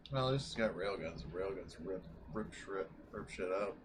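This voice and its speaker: A man's voice: sits low, expressive, normal speed. Male tabletop player, loose casual chatter with swearing, noticeable noise behind the voice. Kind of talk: casual chat.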